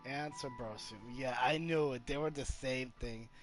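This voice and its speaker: A man's voice: medium pitch, normal speed. A man giving casual, off-the-cuff gameplay commentary as he plays. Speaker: gamer. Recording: loud game music over the voice. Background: game music.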